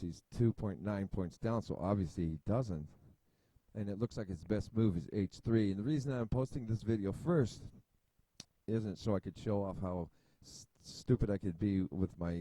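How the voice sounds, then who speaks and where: Casting note: low-voiced man, very mellow and dark tone, dry close desk-mic sound, rambling casual chess commentary full of ums and restarts. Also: no echo, poor audio. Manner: rambling, thinking aloud with many fillers and restarts. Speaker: chess video host. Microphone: desk mic at a computer.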